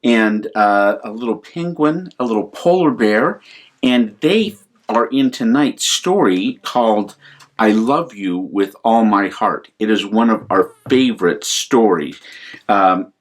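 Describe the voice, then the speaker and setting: Slow-paced American man reading to children. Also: fairly low pitch. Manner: unhurried, expressive. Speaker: priest hosting a children's storytime. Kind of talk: gentle read-aloud storytelling for children.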